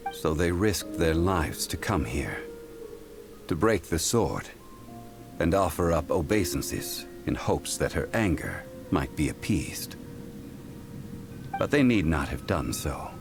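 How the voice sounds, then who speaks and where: A calm and solemn man of learning. His voice sits low and he speaks slowly, with formal, measured gravity. Music plays clearly behind him. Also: exaggerated voice. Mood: grave, calm. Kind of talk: scripted video game voice acting.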